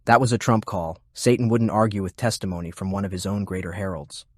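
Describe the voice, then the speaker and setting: A man's voice: low voice, fast, no echo. A man reading scripted fiction as an audiobook narrator, close to the microphone.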